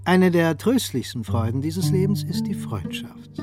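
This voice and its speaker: A male narrator tells a radio play story in an expressive, dramatic style. His voice is on the higher side, with clear music behind him. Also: normal speed. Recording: studio narration over music.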